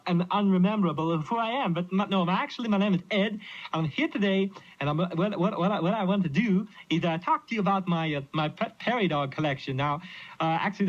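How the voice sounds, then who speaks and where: A man's voice: sits high, pitched above the performer's own voice. A man voicing a befuddled, stubborn, eccentric oddball in a stammering, muddled radio comedy bit, on a lo-fi old tape. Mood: gleefully rambling. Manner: stammering, muddled, keeps losing his thread. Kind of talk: comic character bit on radio. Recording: dull lo-fi old radio aircheck playback.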